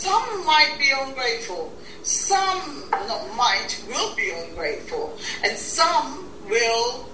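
A woman's voice: on the high side, pushed and bright, a little hiss or hum. Roomy, far-off woman in her sixties from Guyana. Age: 60s. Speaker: talk show host, interview guest. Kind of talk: reflective interview talk.

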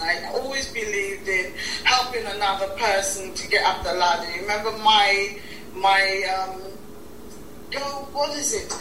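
A woman's voice: mid-range voice, bright, forceful tone, echoey room, recorded from a distance, a little hiss or hum, poor audio. A woman in her sixties, from Guyana, speaking reflectively as a guest in an interview and often repeating words as she starts a thought. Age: 60s. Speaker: talk show host, interview guest.

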